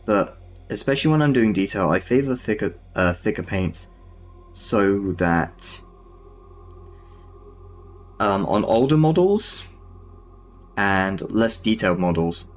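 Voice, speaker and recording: Man, Southern English accent, lower pitch, self-deprecating, casual filler-heavy talk. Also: expressive, takes it slowly, long pauses, faint music behind. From Southern England. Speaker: streamer. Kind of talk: casual chat while painting miniatures.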